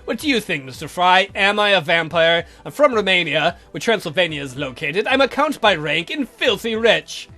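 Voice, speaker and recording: A man's voice: high-pitched, sing-song, normal speed, hardly any pauses, sharp tone, faint music behind, exaggerated voice. A man voicing a grumpy, defensive old vampire count from a visual novel, indignant, dry and given to swearing. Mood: dry, challenging.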